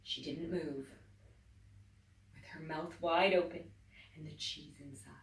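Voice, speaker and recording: Teacher lady reading a kids story, slow and animated, whispers then big exclaims, roomy echo. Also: low voice. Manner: expressive, swinging from whispers to loud exclaims. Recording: echoey room, distant mic.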